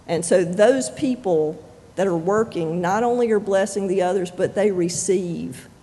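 Slow, gravelly preacher in a reverberant sanctuary. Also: mid-range voice, dark tone. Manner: slow, measured preaching with deliberate pauses. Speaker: pastor. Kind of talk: sermon. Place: church sanctuary. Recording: long reverb of a church sanctuary.

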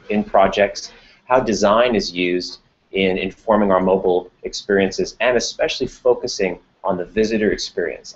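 A man's voice: low-pitched, dull audio. A man hosting a meeting, introducing the guest in a loose way, full of uh and um. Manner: loose, filler-heavy, many uh and um. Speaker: host introducing a speaker.

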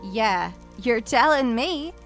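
Woman playing a caring, slow-spoken young companion. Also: fairly high pitch, animated, faint music behind. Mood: wry, plucky. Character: caring, plucky young female travelling companion. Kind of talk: audio drama acting.

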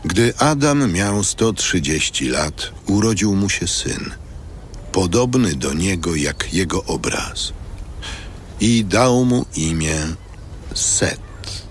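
A man narrating scripture from a script in a measured, solemn style. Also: deep voice, lively intonation, a little hiss or hum. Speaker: narrator of an audio Bible.

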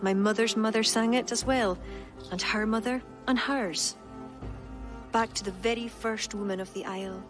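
An actress with an Irish accent playing a devout, steadfast young pagan court poet in a dramatic game cutscene. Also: female voice, on the high side, fairly even intonation, normal speed, natural voice. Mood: solemn conviction. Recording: loud background music under dialogue.

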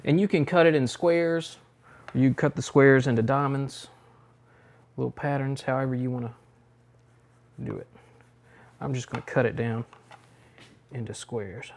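A middle-aged man hosting a cooking show, talking through a recipe step by step in a relaxed, instructional way from a home kitchen. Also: mid-range voice, unhurried, long pauses.

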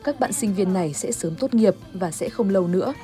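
A woman's voice: mid-range voice, animated, brisk, warm tone, faint music behind. A woman reading scripted narration for a television news report.

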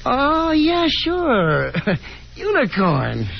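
An actor playing a breezy, confident young gentleman caller who chats in a hearty, coaxing way in a 1950s radio drama. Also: extremely high pitch, wildly swooping pitch, extremely slow, gravelly, slight background noise, heavily acted. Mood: playful, coaxing. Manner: hearty, slangy, encouraging chatter. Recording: dull 1950s radio broadcast, music behind.

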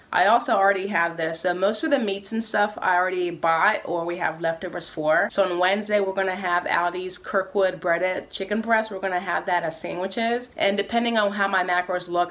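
A woman's voice: lowish voice, a bit bright. Chatty woman vlogging from her kitchen. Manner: chatty, upbeat, lists items one by one. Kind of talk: casual meal-planning vlog. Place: home kitchen, indoors. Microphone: camera in front, medium framing.

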